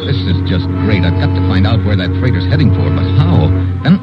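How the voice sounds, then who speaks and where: An actor playing a tough, wisecracking investigator in an old-time radio drama, clipped and sardonic. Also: male voice, on the low side, very animated, fairly quick, loud music behind the voice. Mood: tense, urgent. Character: tough, wisecracking male investigator narrating his case. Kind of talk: hard-boiled old-time radio narration and acting. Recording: dull old radio recording.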